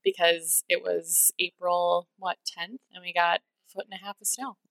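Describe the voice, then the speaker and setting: A woman co-hosting a podcast in casual chat, joking around with quick quips. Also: fairly low pitch, level tone, creaky, a bit bright, no room sound, rough recording. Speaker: podcast co-host.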